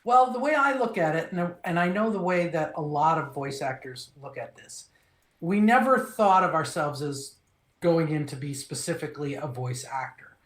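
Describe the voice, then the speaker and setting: A middle-aged male voice actor, originally from Minnesota, telling his career story in an animated, relaxed way, often restarting phrases and acting out quoted lines. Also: on the high side, normal speed. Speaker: voice actor, interview guest. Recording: echoey room.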